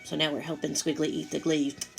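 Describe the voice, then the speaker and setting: A woman reading a picture book aloud to young children, with expressive, simple asides as she goes. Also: low-pitched, brisk, gravelly, noisy background. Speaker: storytime reader for young children.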